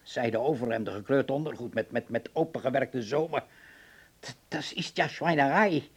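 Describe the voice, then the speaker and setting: A prim German moraliser in a radio play, with a husky, middle-pitched voice. He is fussy but kindly and old-fashioned in his ways. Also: moderate pace. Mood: scandalised. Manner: fussy, drops into German phrases. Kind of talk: radio drama acting.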